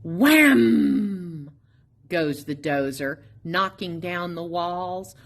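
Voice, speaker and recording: A woman reading a children's picture book aloud expressively, in a sing-song, drawn-out way with playful emphasis on sound words. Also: fairly low pitch, expressive. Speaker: storybook reader at a public library.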